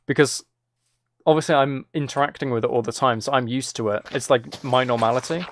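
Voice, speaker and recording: A man's voice: medium pitch, steady tone, fairly quick, fairly bright tone. A man chatting casually as he streams a game, rambling along in a self-deprecating way. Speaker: live streamer. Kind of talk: casual gaming livestream chat, commentary.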